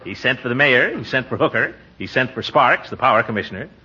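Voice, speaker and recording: Pompous commissioner, excitable, very lively intonation, forceful, 1940s comedy radio, band-limited sound. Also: male voice, mid-range voice, quick pace, breathy edge, muffled recording, heavily acted. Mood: self-important, grumbling. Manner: blustering, chuckling, hesitant interjections. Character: pompous, excitable, self-important small-town water commissioner.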